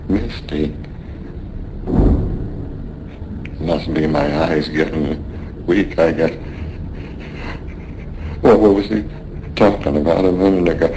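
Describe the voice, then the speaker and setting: Gravelly, slow, dying sailor. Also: male voice. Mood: weak, resigned. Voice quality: gravelly, creaky. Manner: halting, weary, drifting. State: weak, failing. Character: dying, wistful seasoned American sailor reminiscing to his mate. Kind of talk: radio drama acting. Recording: noisy radio drama with rumbling ambience.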